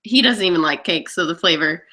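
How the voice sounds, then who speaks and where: A woman's voice: lowish voice, lively intonation, brisk, bright, forceful tone. A woman in her mid-twenties co-hosting a casual live Q&A, reading out viewer questions in a chatty, teasing way. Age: 20s.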